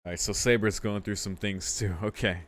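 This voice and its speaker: A man's voice: lowish voice, fairly even intonation, normal speed, a bit bright, no echo. A man hosting a let's play, reading a visual novel aloud with informal, amused commentary. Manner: amused, sweary, informal asides.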